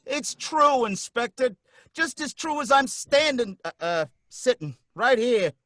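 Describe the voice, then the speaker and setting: An actor playing a nervous, put-upon cab driver who stammers and pleads in folksy slang, in a live old-time radio drama. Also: male voice, very high-pitched, big pitch swings, unhurried, bright, forceful tone, no room sound, clean studio sound, full character voice.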